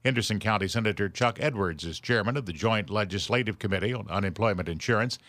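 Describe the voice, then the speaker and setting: A man's voice: fairly low pitch, fast, fairly bright tone, dry recording. A man with an American accent reading a radio news bulletin as the anchor.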